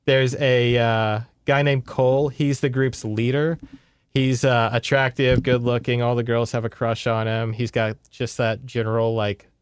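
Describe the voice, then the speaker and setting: A man's voice: medium pitch, level tone, a little slow, a little hiss or hum. A man co-hosting a podcast, retelling a book in a casual, informal, chatty way. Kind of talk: casual conversational book recap.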